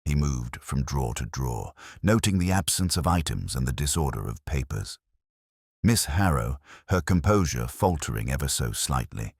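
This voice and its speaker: Male audiobook narrator, mystery fiction. Very low voice, lively intonation, formal and measured delivery, dry close sound. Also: slightly rough, no echo. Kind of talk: scripted mystery story narration.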